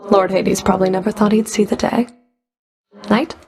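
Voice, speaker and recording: A woman's voice: medium pitch, steady tone, moderate pace, a little mellow, close to an everyday voice. A woman playing a stern, sardonic underworld Fury in a video game, speaking in a cool, dry, clipped way. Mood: dry, teasing. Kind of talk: video game character voice acting.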